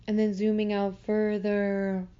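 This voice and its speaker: A woman leading a guided meditation in a soothing, gentle manner, unhurried with long pauses, on slightly muffled audio. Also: mid-range voice, monotone, clean tone.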